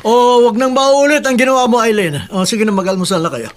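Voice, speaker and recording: A worried Filipino working father of grown children in a radio drama. His voice sits notably high for a man, and he pleads and admonishes like someone long burdened. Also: expressive, fast talker. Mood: weary, admonishing.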